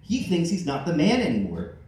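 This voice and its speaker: A man's voice: fairly high pitch, wildly swooping pitch, brisk, darkish tone. A man voicing a chatty female TV producer leaving a gossipy phone message in a comic story. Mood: breezy, gossipy. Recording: echoey room, distant mic, faint noise.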